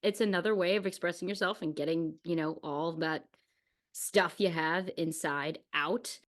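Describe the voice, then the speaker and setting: A woman's voice: lowish voice, fairly even intonation, normal speed. A woman hosting a podcast interview in a casual, conversational way. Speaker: podcast host, dancer and writer.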